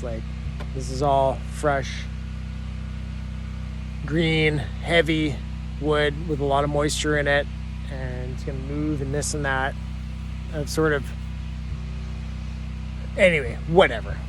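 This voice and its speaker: A man's voice: fairly high pitch, background noise. A man vlogging casually outdoors at his homestead sawmill, rambling in a folksy way with lots of fillers and "right?" tags. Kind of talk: casual vlog talk to camera. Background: generator running.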